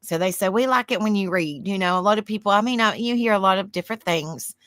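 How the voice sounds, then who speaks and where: A woman with a Southern US accent and a soft, soothing voice hosts a livestream. She chats in a rambling way, reads aloud and answers viewer comments. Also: mid-range voice, fast, dry recording. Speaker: livestream host. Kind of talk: casual livestream chat, reading aloud.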